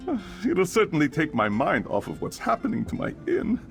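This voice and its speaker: A talkative innkeeper man from a fantasy game. He muses aloud, half grumbling and half curious, in a gravelly voice that swings widely up and down. Faint music plays underneath. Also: on the high side, brisk, heavily acted. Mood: breezy. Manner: expressive, musing aloud. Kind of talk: video game character acting.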